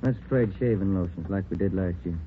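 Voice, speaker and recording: An actor playing an easygoing, polite American police sergeant, the partner in a 1940s radio crime drama, speaking plainly and briskly. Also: male voice, low voice, a little hiss or hum, natural voice. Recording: muffled vintage 1940s radio recording, music behind.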